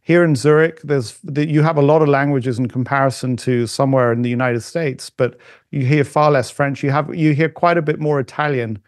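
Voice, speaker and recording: A middle-aged man talking as a podcast guest in casual conversation, halting with frequent restarts and fillers. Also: medium pitch, steady tone, no echo, clean studio sound. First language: not German.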